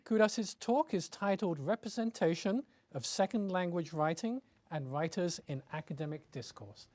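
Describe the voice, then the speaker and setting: A woman's voice: fairly low pitch, moderate pace, darkish tone, no echo. A woman announcing the next presenter with a brief, formal introduction. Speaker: event announcer.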